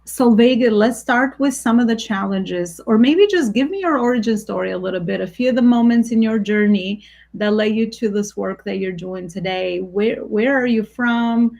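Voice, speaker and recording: A woman's voice: on the high side, moderate pace, creaky, a little mellow. A woman hosting an interview over a video call, talking in an effusive, rambling way. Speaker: host. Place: home room.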